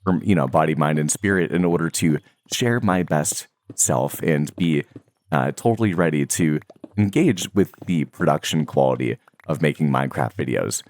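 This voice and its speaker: A young man with a remarkably deep, low voice talks to his viewers about his daily habits. He rambles easily, drifting through fillers and small laughs as he goes. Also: normal speed, dry recording. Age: young adult. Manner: relaxed, rambling, many fillers and soft laughs. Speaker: Minecraft content creator. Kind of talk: casual talk to viewers.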